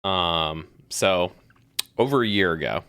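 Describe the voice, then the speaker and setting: A woman's voice: very deep voice, lively intonation, takes it slowly, fairly bright tone, smooth, no echo, clean studio sound. A woman hosting a casual live stream, bubbly and rambling, laughing often. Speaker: podcast host.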